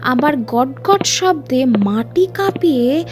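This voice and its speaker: High-voiced woman telling a suspenseful tale, unhurried. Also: lively intonation. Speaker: storyteller narrating a Bengali horror story. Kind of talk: scripted dramatic audiobook narration. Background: music under the voice.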